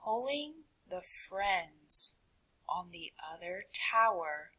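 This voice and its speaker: A woman reading a picture book aloud to children, with dramatic, deliberate phrasing that drops to a hush and rises for emphasis. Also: mid-range voice, animated, husky, rough recording. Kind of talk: expressive read-aloud narration for children.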